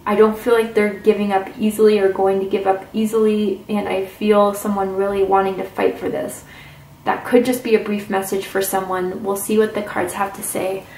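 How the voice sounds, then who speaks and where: A woman giving a casual tarot reading to camera at a table, chatty and intuitive, with drawn-out "ooh"s and "mm"s. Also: medium pitch, darkish tone. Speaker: tarot reader. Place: indoors at a tarot table with crystals and candles. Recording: roomy, distant camera mic with faint hiss.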